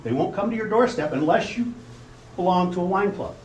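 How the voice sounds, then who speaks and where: Guy giving an informal talk, lively pitch, far from the mic, roomy echo. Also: fairly quick. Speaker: club speaker. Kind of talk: informal public speech. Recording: echoey room, distant mic, faint noise.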